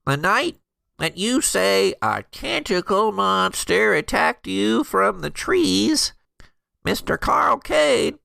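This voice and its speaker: A man playing an exasperated, disbelieving small-town sheriff's deputy in an improvised tabletop game, questioning in a halting, folksy way. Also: sits high, gravelly, sharp tone, no room sound, voice pushed up, heavily acted.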